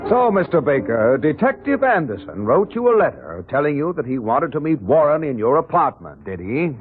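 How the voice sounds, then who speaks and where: An actor in a 1940s radio drama playing a relentless, sarcastic prosecutor, oratorical and insistent, on a muffled old recording. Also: male voice, a little high, big pitch swings, moderate pace, soft background music. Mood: pressing, skeptical. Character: relentless, sarcastic prosecutor pressing his case.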